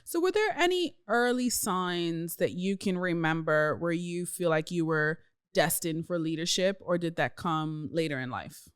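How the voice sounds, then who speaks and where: Woman podcast host chatting casually, clean smooth lowish voice, dry close sound. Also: a little slow, hardly any pauses, no room sound. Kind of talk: casual interview chat.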